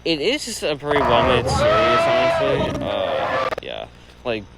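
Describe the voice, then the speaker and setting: A man's voice: mid-range voice, wildly swooping pitch, a little slow, raised voice, over a noisy crowd, very noisy. A man hosting a reaction video in casual, rambling commentary full of filler "uh"s.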